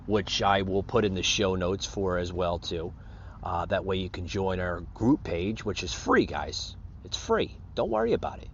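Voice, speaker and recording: Male American podcast host, casual and rambling, very lively pitch swings, slightly muffled lo-fi audio. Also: a little hiss or hum. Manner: rambling and repetitive, addressing listeners as guys. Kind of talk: casual unscripted podcast monologue, plugging pages and thanking listeners.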